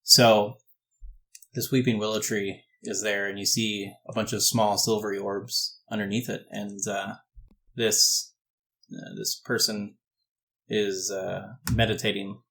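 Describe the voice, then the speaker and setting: A man runs a tabletop fantasy game in a fairly low voice. He improvises his descriptions in a halting way, often repeating and rephrasing as he builds the scene. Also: little pitch movement, pushed and bright, low-quality recording. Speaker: game master.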